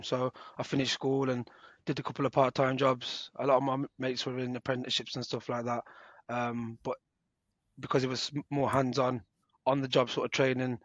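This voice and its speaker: A man in his twenties, an apprentice guest, answering interview questions in a relaxed, informal way with some halting restarts. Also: mid-range voice, no room sound, highs cut off. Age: 20s.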